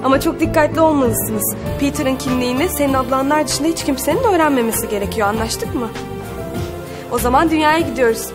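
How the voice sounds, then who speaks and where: High-voiced young good fairy played by a woman. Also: a little fast, natural voice. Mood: gentle, instructing. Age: young adult. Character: kindly young good fairy helping children. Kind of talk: children's fantasy TV drama acting. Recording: loud music under the voice.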